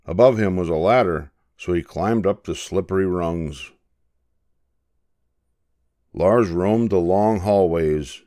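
An older man narrating a children's picture book in a measured, expressive storytelling manner. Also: low-pitched. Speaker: storybook reader.

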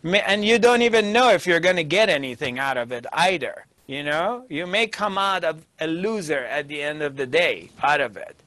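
A middle-aged man giving a spiritual discourse as a teacher, emphatic and deliberate, with pauses. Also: a little high, lively intonation, bright, forceful tone, no echo. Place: small dark indoor studio. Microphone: lapel mic.

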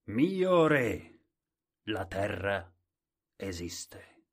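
A man voicing a bold, bearded seafaring explorer who speaks loudly and clearly. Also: on the low side, expressive, takes it slowly, heavily acted. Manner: loud, declaring.

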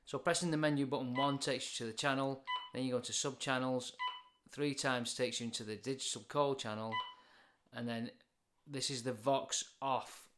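A man in his forties with a British accent talks through a product demonstration. His voice is mid-pitched. He explains each point informally and matter-of-factly, like a hobby reviewer. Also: steady tone, normal speed, background noise. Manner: plain, matter-of-fact explaining.

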